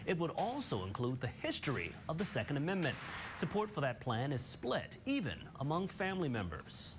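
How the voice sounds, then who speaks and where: A man's voice: fairly high pitch, huge pitch swings, brisk, noisy background. An American man anchoring morning television news, reading scripted stories in a studio.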